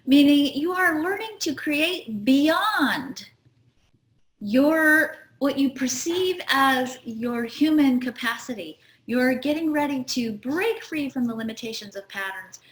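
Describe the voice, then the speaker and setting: Middle-aged woman host, high voice, earnest teacherly delivery, roomy echo. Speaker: show host. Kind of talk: spiritual astrology talk.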